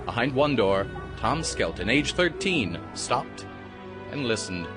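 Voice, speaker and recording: A man's voice: mid-range voice, very animated, slow pace. A man giving dramatic, scripted storybook narration in an audio drama. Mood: hushed, suspenseful. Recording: music behind the voice.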